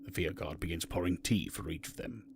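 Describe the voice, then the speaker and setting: A man's voice: deep voice, brisk, creaky, in a whisper. A man narrating a scripted fantasy story as its narrator.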